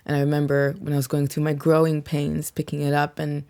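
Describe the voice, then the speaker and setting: A woman's voice: deep voice, completely flat delivery, brisk, creaky, smooth. A young woman with a mixed accent touched by American and South African inflections, reflectively talking through her background as an interview guest, with many "um"s and "like"s. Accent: mixed, slight American and South African inflections. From England (Yorkshire). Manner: reflective, frequent "um" and "like", soft laughs. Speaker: guest singer-songwriter. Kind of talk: casual interview chat.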